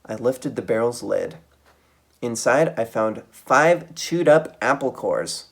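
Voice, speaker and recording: A man reading a children's book aloud as a storytime reader, with dramatic, expressive delivery. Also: mid-range voice, slow pace, gravelly, breathy edge.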